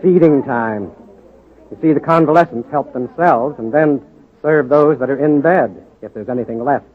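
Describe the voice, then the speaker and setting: An actor playing an earnest hospital doctor in a 1940s radio drama style. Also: male voice, medium pitch, moderate pace, a crowd in the background, close to an everyday voice. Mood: grim, matter-of-fact. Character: earnest hospital doctor, quietly conspiratorial reformer ally. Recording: tinny old radio recording.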